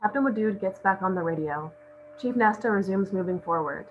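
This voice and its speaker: A woman with a mid-pitched voice reads out stage directions as narration for a script read-through. The recording is dull and lo-fi, with a little noise behind her. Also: expressive, a little fast. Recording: muffled, noisy lo-fi recording.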